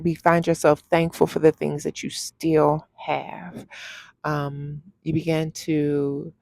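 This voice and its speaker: Woman, personal vlog testimony, unhurried, heartfelt and encouraging, noticeable vocal fry. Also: deep voice, darkish tone, dry recording. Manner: heartfelt, faith-filled encouragement. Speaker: personal vlogger. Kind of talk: personal testimony, talking to camera.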